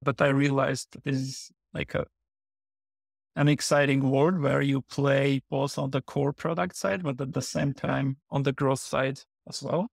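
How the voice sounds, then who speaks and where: A man, a podcast guest who answers in measured, short phrases. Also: medium pitch, flat delivery, creaky, no echo, studio quality. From Armenia. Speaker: guest, product lead. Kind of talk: podcast interview.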